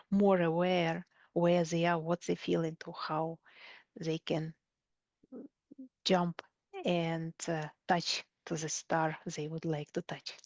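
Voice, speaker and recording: Slow, even-toned Russian woman. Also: lowish voice, no echo, muffled recording. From Siberia, Russia. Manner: hesitant, searching for words. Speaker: coach, interview guest. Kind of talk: conversational interview answers.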